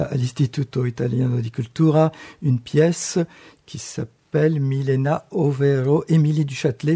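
Male host, hesitant delivery, mellow and gravelly. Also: medium pitch. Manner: halting, hesitant. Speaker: radio host and interviewer. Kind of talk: on-air introduction.

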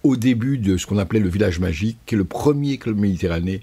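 An older man from Paris, a guest in a conversational interview, telling stories in a measured, cultured way. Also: lowish voice, animated, dark tone, husky. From France. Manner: measured, storytelling, erudite.

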